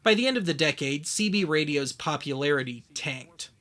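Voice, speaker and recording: A man narrating a scripted documentary as its host, with wry, deadpan humour. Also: on the high side, normal speed.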